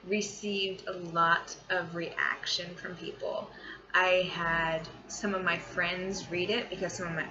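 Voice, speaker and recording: A woman vlogging casually from her bedroom, rambling in a scattered, informal and self-deprecating way. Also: lowish voice. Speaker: vlogger and amateur short story writer. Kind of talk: casual rambling vlog chat. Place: small bedroom at home, indoors. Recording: muffled, noisy room with fan running. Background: running fan noise.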